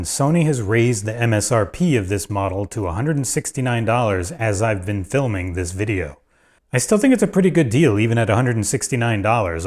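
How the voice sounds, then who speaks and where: Lower-pitched man narrating a tech vlog. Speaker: video host and narrator. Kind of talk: scripted vlog narration on audio gear.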